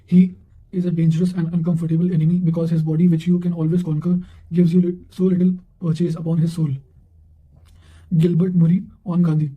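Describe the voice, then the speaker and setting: Male narrator, high pitch, even intonation, measured delivery, reading study notes aloud. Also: dull audio.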